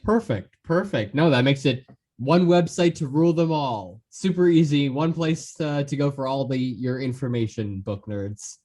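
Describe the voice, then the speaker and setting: A man's voice: medium pitch, normal speed, mellow. A man hosting a podcast, chatting casually and enthusiastically as he interviews his guests. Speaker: podcast host.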